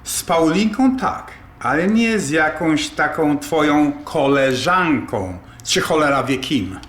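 A man's voice: fairly high pitch, animated, slow pace, fairly bright tone. A man playing a grumpy, disapproving middle-aged father, blunt and exasperated, in an audio drama. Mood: stern, angry. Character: grumpy, stern, disapproving father of adult daughter. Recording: echoey room, faint noise.

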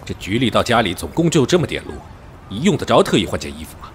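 A man's voice: on the low side, very animated, quick pace, slight background noise. A man playing a stern, cautious middle-aged police officer and father, admonishing and emphatic, in an audio drama. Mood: restrained. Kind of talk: Chinese audio drama acting.

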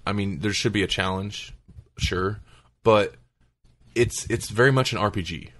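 Casting: male podcast host, lower-pitched voice, casual gamer chat. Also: moderate pace, a bit bright. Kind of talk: casual chat about video games.

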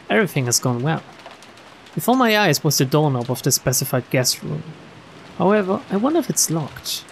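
A man's voice: on the high side, slight background noise. A man voicing a proud, anxious, dutiful noblewoman in formal, dramatic inner monologue for a mystery visual novel.

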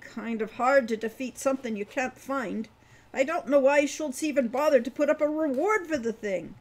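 A man's voice: very high-pitched, moderate pace, voice pushed up. A woman voicing a gloomy, folksy old male dwarf who rambles and grumbles, in a storybook reading. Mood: grave, warning.

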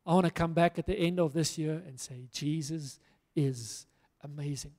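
Fervent high-voiced male preacher in a hall. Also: moderate pace, dark tone. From South Africa. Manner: earnest, rousing preaching with emphatic repetition. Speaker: pastor. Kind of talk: sermon. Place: church stage in a large hall. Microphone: handheld microphone. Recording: long hall reverb.

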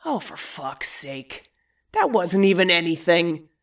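A woman's voice: fairly low pitch, very animated, slow, distant mic, heavily acted. A woman playing a crass, dismissive older garage boss with a sarcastic manner in an audio story. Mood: sardonic, irritated. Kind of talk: audio fiction dialogue.

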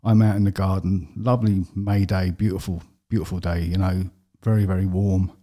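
Low, mellow man with a London accent. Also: brisk. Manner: plain, steady storytelling with fillers. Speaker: guest. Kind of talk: personal interview. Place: podcast studio with brick wall. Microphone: studio desk mic with headphones.

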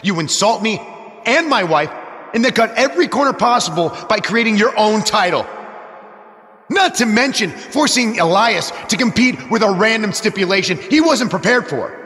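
A man's voice: sits high, animated, moderate pace, bright, forceful tone. A man playing an arrogant, indignant wrestling champion in a video game, sneering and theatrical in his promo. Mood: scornful, angry. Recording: echoing arena reverb.

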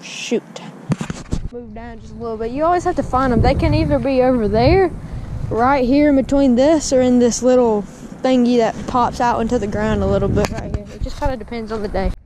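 A man's voice: very high voice, a little fast. A young man, chatting casually to viewers while fishing at a pond, calling them guys and dude. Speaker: fishing vlogger. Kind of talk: casual vlog commentary. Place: outdoors by a windy pond. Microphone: body-mounted action camera. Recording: wind noise outdoors.

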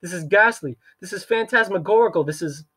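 A man putting on a frantic squeaky-voiced banker. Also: brisk, fairly bright tone, husky. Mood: panicked babbling. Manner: frantic, high-pitched, babbling. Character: panicky babbling middle-aged male banker. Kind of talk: audiobook character voice.

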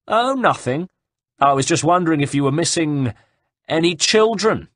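A man voicing a mocking Italian nobleman villain in an audiobook, taunting and projecting loudly. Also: medium pitch, sing-song, measured pace, slightly rough, pitched above the performer's own voice, exaggerated voice. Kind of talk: audiobook character dialogue.

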